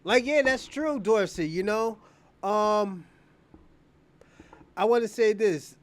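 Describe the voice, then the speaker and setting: A man's voice: high voice, animated, slow. A man hosting a casual livestream, talking off the cuff in an opinionated, rambling way and repeating phrases. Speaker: live stream show host.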